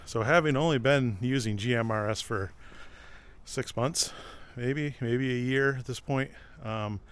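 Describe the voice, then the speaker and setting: A man's voice: mid-range voice, measured pace. Middle-aged man vlogging over car noise. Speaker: vlog host. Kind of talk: casual vlog talk to camera. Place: outdoors by a driveway and inside a car. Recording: clear road and car noise.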